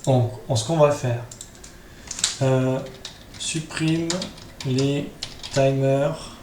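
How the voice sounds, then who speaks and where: A man's voice: mid-range voice, steady tone, drawn-out words. Man giving a casual tutorial, echoey room. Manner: improvised, thinking aloud with frequent tag questions. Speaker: tutorial host. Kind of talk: casual screen-recorded tutorial narration. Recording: roomy echo, faint background noise.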